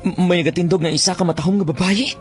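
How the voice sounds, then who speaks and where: A man's voice: high-pitched, fairly even intonation, quick pace, faint music behind, close to an everyday voice. A man acting a brash, easily awestruck young bird trapper in a radio drama, theatrical, with a Western Visayan Filipino accent. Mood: awed. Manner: theatrical, stammering when startled. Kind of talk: radio drama acting with first-person narration.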